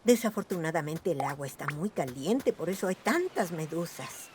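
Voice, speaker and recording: A woman voicing a caring, gently cautioning grandmother rabbit in a Latin American Spanish cartoon dub. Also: low-pitched, animated, normal speed. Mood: concerned, gentle. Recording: loud music behind.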